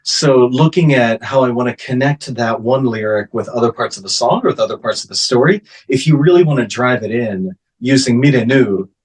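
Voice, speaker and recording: Guy interpreting in first person, kinda husky, measured and full of ums. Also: medium pitch, rough recording. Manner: measured, lots of fillers.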